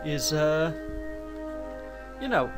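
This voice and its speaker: A man's voice: a little high, big pitch swings, extremely slow. Man with a cold, rambling over music. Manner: rambling, hesitant, self-correcting. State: has a cold. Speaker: let's play host. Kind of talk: casual gameplay commentary.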